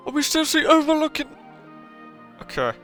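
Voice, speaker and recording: A woman's voice: high-pitched, sing-song, a little fast, a bit bright. A woman streaming a game, giving casual, slangy, incredulous reactions as she plays. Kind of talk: casual gameplay reaction commentary. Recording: game music behind the voice.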